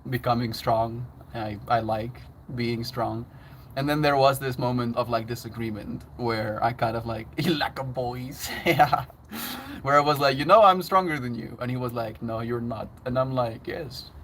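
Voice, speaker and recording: A man's voice: mid-range voice, a bit bright, a little hiss or hum. A man chatting casually on his livestream, laughing often and reading out chat messages.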